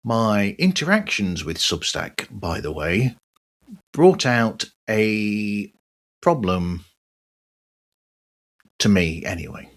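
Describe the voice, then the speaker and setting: A man's voice: fairly low pitch, very animated, slow pace, pauses often. A man hosting a podcast monologue in a personal style, dropping wry, sardonic asides as he goes. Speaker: podcast host.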